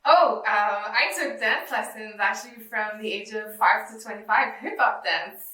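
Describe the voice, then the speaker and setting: A woman with a bright, crisp-edged tone answers interview questions in short phrases. Her voice rings with noticeable room echo, as if recorded in an office. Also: on the high side, normal speed. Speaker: office employee being interviewed. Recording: echoey room.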